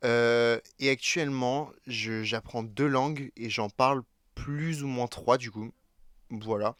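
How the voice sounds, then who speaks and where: A man's voice: medium pitch, steady tone, slow, a bit bright, dry recording. Chatty French teen guy, dry sound. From France. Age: teens. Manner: chatty, many fillers and self-corrections. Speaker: YouTuber. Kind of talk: casual talk to camera.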